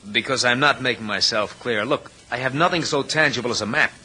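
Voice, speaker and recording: A man's voice: mid-range voice, fairly quick, sharp tone. A man playing an eager, insistent young college-educated swindler in a radio drama, slick in manner, on an old recording. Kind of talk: 1940s-50s radio drama acting. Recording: noisy vintage radio recording.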